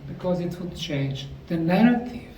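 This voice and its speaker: Man, middle-aged, documentary guide, deliberate explaining, slightly high voice, outdoor ambience faint behind. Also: expressive, dark tone, slight background noise. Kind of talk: on-location documentary narration. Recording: roomy, distant mic with faint outdoor ambience.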